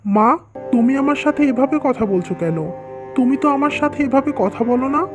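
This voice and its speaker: A woman's voice: sits high, animated, moderate pace, over music. A woman voicing a stern, easily hurt young husband and son in a cartoon drama. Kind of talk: dubbed cartoon drama dialogue.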